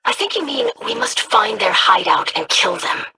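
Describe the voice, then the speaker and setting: An actress voicing a poised, sharp-tongued female noble ally in a video game, with dry, formal wit. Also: medium pitch, lively intonation, moderate pace, background noise, lightly acted. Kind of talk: video game character dialogue.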